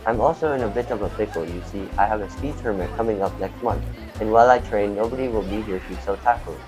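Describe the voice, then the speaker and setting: A man's voice: lowish voice, fast, a little mellow, faint music behind, lightly acted, poor audio. A man acting a cheerful, boastful food truck owner in an amateur radio play, with earnest, theatrical, formal wording. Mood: eager, confiding.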